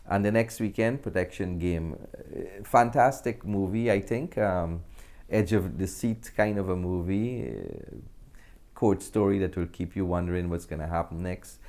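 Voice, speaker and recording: A man's voice: low-pitched, a little mellow. A middle-aged man with a Guyanese accent, answering reflectively in a conversational interview. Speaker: interview guest, actor and filmmaker.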